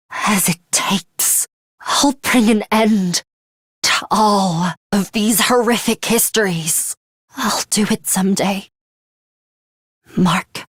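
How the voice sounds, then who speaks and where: A woman's voice: medium pitch, no room sound. Young fighter woman from a video game, poised n teasing, ruthless, takes her time, very dry sound. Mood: grave, weary.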